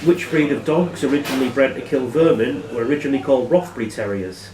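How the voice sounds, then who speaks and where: A man hosting a quiz as quizmaster, reading questions aloud in a plain, matter-of-fact way and giving brisk rulings. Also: medium pitch, noisy background. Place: live in a pub. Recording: echoey room, distant microphone.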